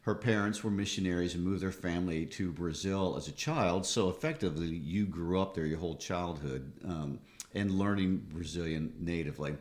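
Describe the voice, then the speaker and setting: A man's voice: low voice, normal speed. A man, talking conversationally as the co-host of a podcast.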